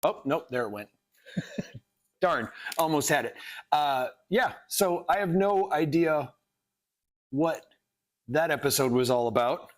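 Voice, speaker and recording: A man's voice: on the high side, animated, takes it slowly, long pauses. Offhand man riffing on a live stream. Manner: offhand, muttering asides while working. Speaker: artist, live stream host.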